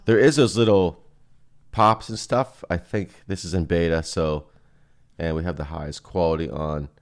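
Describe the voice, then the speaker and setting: A man giving a casual software walkthrough as presenter, thinking aloud in an informal way. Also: sits low, steady tone, normal speed. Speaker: presenter demonstrating music software.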